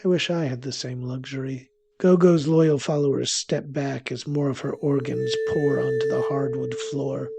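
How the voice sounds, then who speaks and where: A man telling a scripted horror story in the first person, in a theatrical, deliberate way. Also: medium pitch, steady tone, gravelly, background noise.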